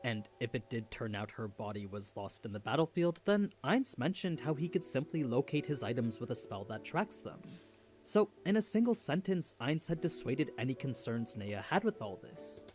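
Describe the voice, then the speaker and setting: A man's voice: mid-range voice, very animated, moderate pace, darkish tone, soft background music. A man reading scripted narration for an explainer video.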